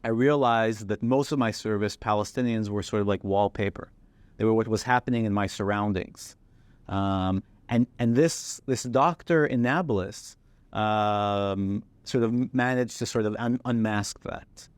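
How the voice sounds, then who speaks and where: A young man from Israel giving measured, reflective personal testimony about his time as a soldier. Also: lowish voice, smooth, dry recording. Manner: measured, reflective storytelling with occasional "um". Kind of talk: personal testimony, clip from a talk.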